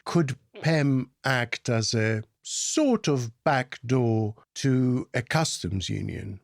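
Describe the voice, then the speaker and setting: A man's voice: mid-range voice, expressive, slow, creaky, no room sound, studio quality. A man hosting an informal political discussion, asking questions in a reflective way. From abroad, outside the UK. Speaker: podcast host.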